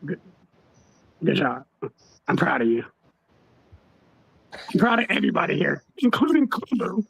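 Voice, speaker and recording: A man on a discussion panel, asking probing questions in a slow, deliberate way. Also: high voice, wildly swooping pitch, creaky, a bit bright, breathy edge, dry recording, rough recording.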